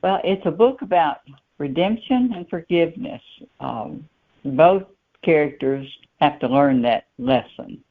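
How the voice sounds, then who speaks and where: A woman author being interviewed, talking casually and haltingly with repeated words, on a thin phone line. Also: low voice, animated.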